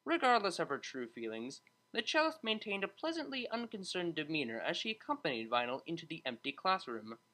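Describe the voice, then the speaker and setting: Audiobook narrator for fiction: bright tone, animated intonation. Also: mid-range voice, fairly quick, few pauses, poor audio.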